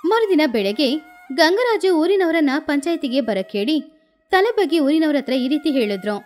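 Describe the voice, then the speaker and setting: A woman narrates a scripted moral story in a high voice. She works as a storyteller, reading the tale over faint background music. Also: sing-song, normal speed.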